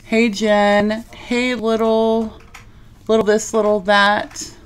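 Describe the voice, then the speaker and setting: A woman's voice: fairly high pitch, little pitch movement, takes it slowly, smooth. A woman in her forties with a nasal voice, hosting a casual live sewing stream from her home craft room on a desk mic. She chats in a rambling way and talks straight to her viewers. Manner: chatty, addresses viewers directly, rambling. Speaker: craft livestream host.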